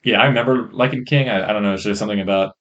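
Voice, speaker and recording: A man co-hosting a podcast, chatting casually in a rambling, hesitant way, on a rough-sounding faulty microphone. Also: fairly low pitch, racing, a bit bright, dull audio. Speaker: podcast co-host. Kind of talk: casual chat.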